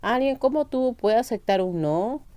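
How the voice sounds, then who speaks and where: A woman's voice: on the high side, expressive, normal speed, mellow, no room sound, natural voice. A woman voicing an insecure but feisty young man in love in a narrated romance story. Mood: reproachful.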